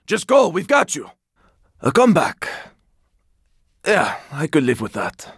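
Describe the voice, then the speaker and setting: A man playing a gruff, weary, sardonic squad fighter in a zombie video game, speaking in terse lines with a raspy voice. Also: a little high, huge pitch swings, measured pace, dry recording, clean studio sound, heavily acted. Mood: weary, grim. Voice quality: raspy, gravelly. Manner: terse, urgent, swearing. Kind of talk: video game character combat barks.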